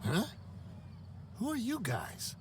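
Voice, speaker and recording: A man's voice: high voice, huge pitch swings, slow, background noise, full character voice. An actor playing a nervous, shifty male smuggler in a video game, wheedling and evasive. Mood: wary.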